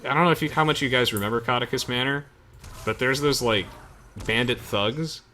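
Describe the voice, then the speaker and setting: A man's voice: mid-range voice, brisk, pushed and bright, slight background noise. A young man giving casual, chatty gameplay commentary to friends, explaining things with hesitant trailing asides. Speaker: gamer hosting a let's play.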